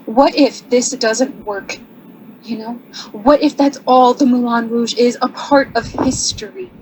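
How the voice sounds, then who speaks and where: An actress playing an earnest, shy young married artist in a radio drama, speaking hesitantly and apologetically. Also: female voice, a little high, unhurried, darkish tone, a little hiss or hum, natural voice, poor audio. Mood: troubled.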